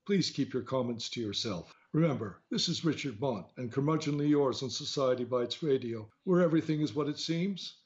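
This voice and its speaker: A man's voice: medium pitch, animated, a little fast, few pauses, darkish tone, slightly rough. A man hosting a radio interview show in a conversational style. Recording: dull lo-fi audio.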